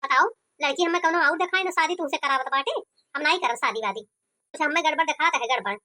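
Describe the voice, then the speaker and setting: A woman's voice: sits high, fast talker, pushed and bright. A woman voicing a complaining, stubborn young village groom in an Awadhi-accented cartoon comedy. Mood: indignant. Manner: complaining, argumentative.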